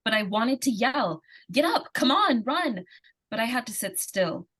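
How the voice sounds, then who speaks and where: High-voiced, desperate little girl played by a woman. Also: sing-song, a little slow, heavy background noise. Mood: subdued, haunted. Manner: urgent, breathless repetition and pleading. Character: desperate, pleading young girl, earnest child narrator. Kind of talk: old-time radio suspense acting. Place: live stage radio-play performance.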